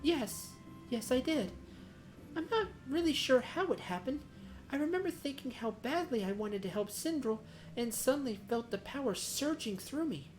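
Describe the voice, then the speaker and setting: A woman's voice: sits high, animated, normal speed, soft background music, rough recording. A woman voicing an earnest, devoted young apprentice conjurer in a fantasy game, with an eager manner. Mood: earnest, wondering. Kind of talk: reading fantasy game dialogue aloud.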